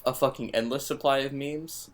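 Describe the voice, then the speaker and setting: A man's voice: medium pitch, fairly even intonation, normal speed. A young man co-hosting a podcast, cracking jokes, swearing casually and laughing often. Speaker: podcast co-host. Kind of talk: casual banter.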